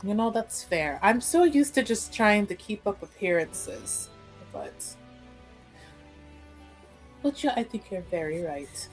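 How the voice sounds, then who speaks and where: A woman's voice: medium pitch, big pitch swings, slow pace, soft background music, low-quality recording. A chatty, playful woman talking casually as a player in a tabletop roleplaying game. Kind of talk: casual improvised roleplay and narration.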